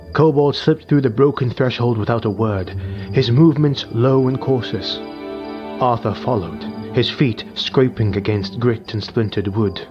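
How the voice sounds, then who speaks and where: A man narrating a dark fantasy audiobook in a measured, somber storytelling voice. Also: fairly low pitch, highs cut off.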